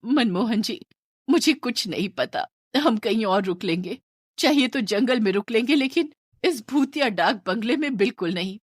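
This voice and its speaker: An anxious mother voiced by a woman in a horror storybook. She speaks emphatically in a fairly high, lively voice, recorded close and dry. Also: moderate pace, slightly rough, no room sound. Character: frightened mother, anxious and insistent.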